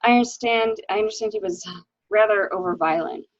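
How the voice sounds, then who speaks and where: A woman's voice: medium pitch, brisk, no echo, lightly acted. A woman in a dramatic mystery reading, playing an anxious, hesitant widow, on a dull home recording. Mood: earnest, bitter. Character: anxious, hesitant new widow recounting her husband's misdeeds. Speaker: library staff reader.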